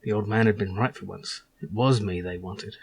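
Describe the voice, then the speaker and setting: A man's voice: fairly low pitch, moderate pace, vocal fry, slightly rough, heavily acted. A man narrating as a young male survivor telling his own story in a wry, reflective storybook style.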